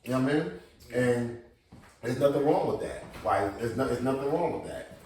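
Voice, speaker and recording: A man's voice: medium pitch, normal speed, a little hiss or hum. Man, battle rap veteran, talky, repeats himself, roomy distant sound. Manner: animated, repeats words, quotes himself in conversation. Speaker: battle rap league figure being interviewed. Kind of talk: casual interview chat. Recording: echoey room, voice picked up from a distance.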